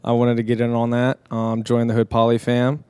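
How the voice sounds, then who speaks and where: Chill young dj guy from san diego rambling along, smooth voice, flat delivery, dry close sound. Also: mid-range voice, brisk, no echo. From San Diego area, California. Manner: laid-back, rambling with many fillers and laughs. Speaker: guest DJ and producer. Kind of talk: casual podcast chat.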